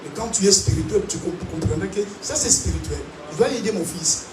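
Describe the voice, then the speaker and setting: A man from Africa preaching a sermon to a congregation, animated and calling out to them. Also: high-pitched, brisk, over music. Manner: animated preaching with call-and-response. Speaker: pastor. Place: large church hall. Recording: echoey hall, distant mic, noisy.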